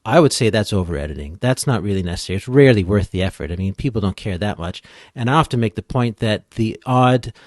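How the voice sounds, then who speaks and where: A man giving casual, informal expert explanations as a podcast guest, thinking out loud as he goes. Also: fairly low pitch, animated, fast, no room sound, studio quality. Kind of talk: casual explanatory interview talk.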